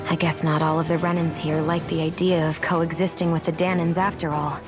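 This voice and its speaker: A woman voicing a cool, stubborn young woman in a video game drama, with a husky voice. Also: low-pitched, little pitch movement, a little fast, music in the background, close to an everyday voice. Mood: wry.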